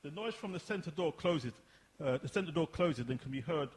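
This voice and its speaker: A man's voice: on the high side, lively intonation, a little fast, slightly rough. A man reading stage directions as the narrator of a radio play.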